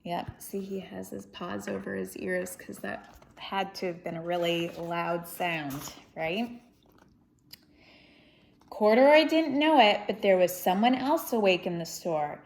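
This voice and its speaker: A woman reads a picture book aloud at an unhurried, slow pace. Her narration is expressive, and she explains things gently to young listeners. Her pitch is medium. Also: creaky, a little hiss or hum. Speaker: storybook reader. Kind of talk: children's read-aloud narration with explanatory asides.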